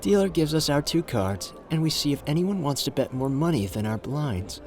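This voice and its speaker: A man's voice: a little high, animated, darkish tone, soft background music, natural voice. A man playing a suave, flirtatious, confident gambler in an ASMR roleplay audio drama, teasing and intimate in the way he talks. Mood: playful, confident. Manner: teasing, intimate, drawn-out phrasing with pet names.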